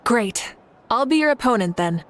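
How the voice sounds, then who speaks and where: Woman as a confident, animated warrior champion. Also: on the high side, moderate pace, a little hiss or hum, lightly acted. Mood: inviting. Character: confident adult woman warrior champion, fiery and good-humoured. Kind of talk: video game character acting.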